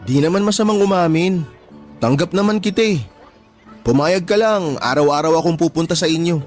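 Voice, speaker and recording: A man's voice: fairly high pitch, brisk, faint music behind, natural voice. An actor playing a persistent young man courting a tomboyish woman in a radio drama, teasing her with chuckles. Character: persistent young male suitor, teasing but sincere.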